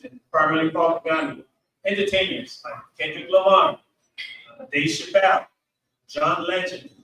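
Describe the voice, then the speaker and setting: A man giving a deliberate, emphatic explanatory political talk as a panel speaker. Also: fairly high pitch, fairly bright tone, a little hiss or hum. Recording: echoey room, distant from mic.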